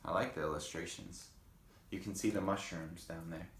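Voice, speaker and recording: A man reading a picture book aloud to children, with gentle, chatty asides to young listeners. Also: low voice, fairly even intonation, moderate pace, roomy sound, a little hiss or hum. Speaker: read-aloud host.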